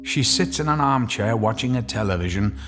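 An actor narrating an audio drama as a measured, descriptive storyteller. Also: male voice, lowish voice, animated, over music.

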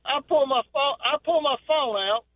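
A man's voice: very high-pitched, normal speed, dry recording. A middle-aged man, calling in to a radio show and telling a folksy story. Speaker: caller. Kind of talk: telling a story on a phone call. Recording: tinny phone line.

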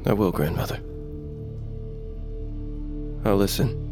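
A man's voice: on the low side, slow, over music. An actor playing a grieving, defiant young warrior in an audio drama, his emotional voice rising in outbursts. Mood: subdued, resolute. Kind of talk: audio fiction drama acting.

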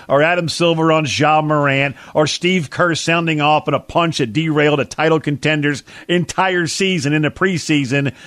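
A man with a slightly high voice co-hosts sports talk radio in a dry studio sound. His delivery is casual and colorful, full of slang and exaggeration. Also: a bit bright, no room sound.

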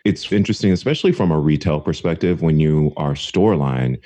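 A man's voice: very deep voice, lively intonation, brisk, dark tone, no echo. A man hosting an interview podcast, speaking in an enthusiastic way with small stumbles and repeated words. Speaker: podcast host, assistant professor.

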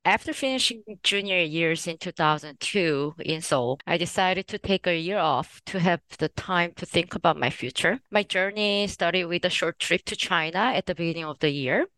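A woman's voice: on the low side, normal speed, hardly any pauses, fairly bright tone, no echo, rough recording. A woman in her forties from Korea, a native Korean speaker, telling her story thoughtfully as a guest author with light laughs. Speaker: guest author and research professor. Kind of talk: podcast interview.

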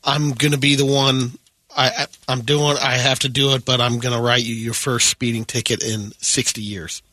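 A man's voice: mid-range voice, flat delivery, normal speed, pushed and bright, no echo. A man co-hosting a morning radio show in casual, joking banter.

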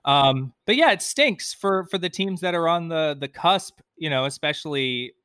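A man's voice: on the high side, animated, normal speed, fairly bright tone, smooth, dry recording, studio quality. A man talking sports and data conversationally as a podcast panelist.

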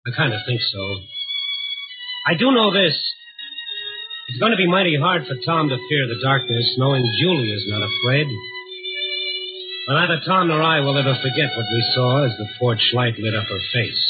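An actor playing a world-weary, wry newspaper reporter who narrates an old radio drama in hard-boiled style. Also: male voice, medium pitch, animated, normal speed, pauses often, lightly acted. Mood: solemn. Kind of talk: 1950s radio drama first-person narration. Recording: tinny old radio recording, faint music.

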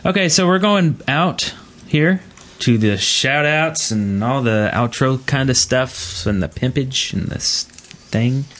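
A man's voice: medium pitch, takes it slowly, a bit bright. A man hosting a podcast in a casual, chatty style. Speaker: podcast host.

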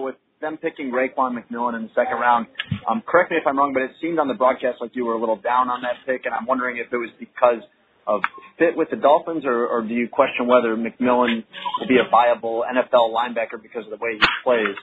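Male reporter, quick and hesitant with ums, phone-line sound. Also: mid-range voice, steady tone, background noise. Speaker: reporter asking questions. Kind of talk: press call question. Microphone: telephone.

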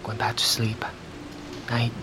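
A man playing a dutiful, low-key grown son in an audio drama, speaking tersely. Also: monotone, slow pace, natural voice. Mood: resigned. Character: dutiful grown son tending his old father. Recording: loud ambient noise behind dialogue.

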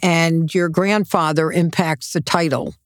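A woman's voice: low voice, steady tone, unhurried, no echo, clean studio sound. A woman hosting a podcast interview, supportive and finishing her guest's sentences. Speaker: podcast host. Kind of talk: interview conversation.

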